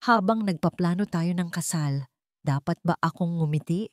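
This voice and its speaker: A woman's voice: fairly low pitch, moderate pace, no echo, studio quality, close to an everyday voice. A woman voicing a betrayed young fiancée, wounded and resolute, speaking emotionally in an audio love drama. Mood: bitter anger. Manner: emotional, confrontational.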